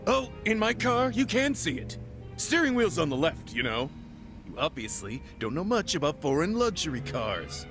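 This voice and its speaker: Male swindler, game cutscene; higher register, pushy bluster, haughty manner, prominent backing music. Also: sing-song, normal speed. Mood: indignant. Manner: brusque, condescending, blustering. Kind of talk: video game cutscene dialogue. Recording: music playing behind dialogue.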